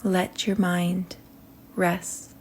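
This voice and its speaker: A woman leading a guided meditation in a soothing manner, speaking in short phrases with long pauses that trail softly toward a whisper. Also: on the low side, flat delivery, a little hiss or hum.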